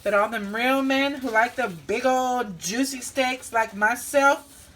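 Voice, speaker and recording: A woman's voice: a little high, measured pace. A woman chatting through a home cooking video, joking bawdily and rambling as she goes. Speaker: cooking vlogger. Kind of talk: casual cooking chat. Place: home kitchen with food frying. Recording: sizzling pan noise behind.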